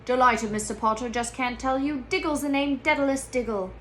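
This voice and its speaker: A thrilled little storybook gentleman performed by a woman exclaims in a high, excited voice. The recording has clear noise. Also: fairly quick. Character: excitable little man in a top hat, thrilled.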